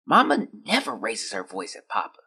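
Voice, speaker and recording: An actor playing a sick, frightened young child reading out a diary in a plaintive, hesitant, husky voice. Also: mid-range voice, wildly swooping pitch, normal speed, sharp tone, heavily acted. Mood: weary, upset. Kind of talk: dramatic diary monologue.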